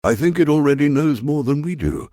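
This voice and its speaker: A man playing an earnest, resolute science professor in a sci-fi audio drama, with a husky voice and deliberate delivery. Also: on the high side, lively intonation, warm tone, no room sound, clean studio sound, lightly acted. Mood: earnest, convinced.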